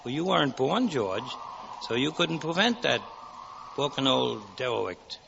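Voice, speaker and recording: An actor playing a solemn, commanding guardian angel in theatrical 1940s radio drama style. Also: male voice, medium pitch, expressive, a little slow, gravelly. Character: stern guardian angel showing a grim vision. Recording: noisy, dull 1949 radio recording.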